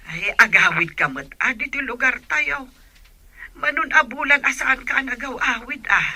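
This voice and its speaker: A woman's voice: high voice, expressive, moderate pace, pushed and bright, exaggerated voice. A woman playing an affectionate, ailing elderly mother with a Filipino accent in a radio drama. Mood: coaxing, affectionate. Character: elderly ailing mother, affectionate, coaxing her son to visit.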